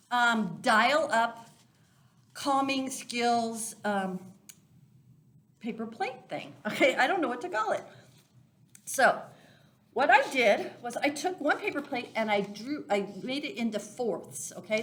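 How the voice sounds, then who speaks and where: A woman's voice: fairly high pitch, a bit bright. A woman leading a children's Sunday school lesson, explaining things simply, step by step, as if to young listeners. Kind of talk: children's lesson, craft demonstration.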